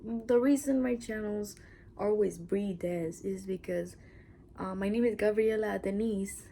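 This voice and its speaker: A woman's voice: medium pitch, a little slow, vocal fry, dark tone, slight background noise. A woman from Mexico with a Mexican accent, Spanish as her first language, chatting in a casual personal vlog, rambling with asides and sometimes dropping to a whisper. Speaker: channel host.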